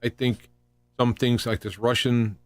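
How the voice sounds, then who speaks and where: A man hosting a live talk radio show with an opinionated, unscripted political monologue, halting and restarting phrases and posing rhetorical questions. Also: mid-range voice, level tone, dry recording. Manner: halting and rambling, often repeating and restarting phrases. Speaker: radio host.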